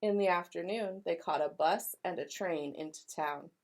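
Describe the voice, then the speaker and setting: A woman's voice: on the low side, normal speed, a little mellow. Woman reading a picture book aloud to children. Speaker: elementary school educator reading aloud to pupils.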